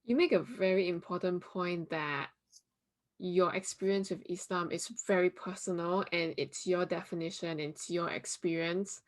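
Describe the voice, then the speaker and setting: Female interview host with a lowish voice. Conversational and affirming, asks open questions. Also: normal speed. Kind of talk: university interview show.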